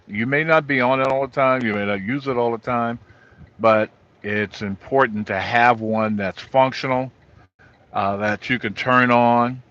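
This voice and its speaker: A middle-aged or older man, a trucker, giving an informal, halting walkthrough of gear from inside his truck cab. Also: medium pitch, pushed and bright. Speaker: truck driver vlogger. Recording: dull lo-fi audio.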